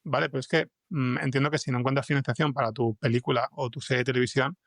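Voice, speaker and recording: A man's voice: mid-range voice, fairly even intonation, fast talker, gravelly, a bit bright, no room sound, clean studio sound. A man with an accent from Spain, chatting informally as an interview guest and thinking aloud as he explains things. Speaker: guest podcast scriptwriter. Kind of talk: casual conversational interview.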